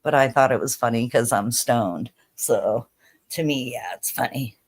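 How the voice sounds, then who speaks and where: A woman's voice: very deep voice, a little slow, husky. A woman in her thirties hosting a casual livestream chat, laid-back and chatty with many fillers. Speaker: livestream host.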